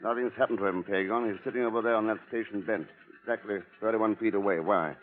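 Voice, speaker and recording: A man's voice: on the low side, fairly even intonation, fast talker, close to an everyday voice. A man playing a cool, watchful investigator in a vintage radio drama, speaking with dry, suave understatement. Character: cool, wry, watchful male investigator hero. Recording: muffled, band-limited old radio recording.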